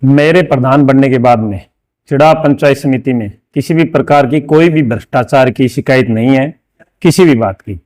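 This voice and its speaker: Male local official, mid-pitched, dry recording, insistent and repetitive interview statements. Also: moderate pace, a little mellow, dry recording. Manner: emphatic, repetitive denials. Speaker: local council head.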